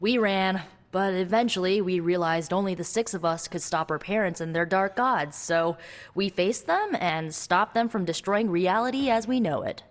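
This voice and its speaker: A woman's voice: mid-range voice, natural voice. A woman playing a young spellcaster hero in a video game, wry and guarded, talking in a conversational, hesitant way. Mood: wry storytelling. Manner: wry, hesitant, halting asides. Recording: long reverb, music underneath.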